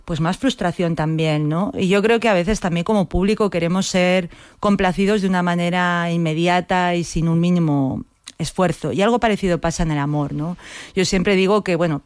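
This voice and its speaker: Reflective woman with a clear, smooth voice. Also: lowish voice, steady tone, normal speed, darkish tone, no room sound. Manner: reflective, often seeks agreement with tag questions. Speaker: interview guest, playwright. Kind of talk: reflective conversational interview.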